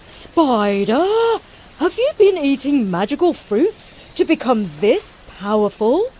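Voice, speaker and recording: A woman voicing a proud, boastful giant male elephant in a children's story, booming and pompous. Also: big pitch swings, slow pace, darkish tone, slight background noise, exaggerated voice. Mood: baffled.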